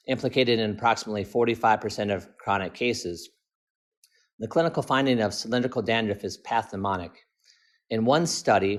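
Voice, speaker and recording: A man, an optometrist, reads his own clinical article as formal scripted narration. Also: on the low side.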